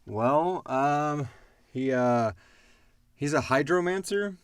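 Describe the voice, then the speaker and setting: A man chatting casually as a podcast host, retelling story scenes in an animated way. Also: on the high side, slow pace, clean tone, no echo, studio quality. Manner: animated retelling, acting out characters' lines. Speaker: podcast host and audio drama creator. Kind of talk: casual chat answering listener questions.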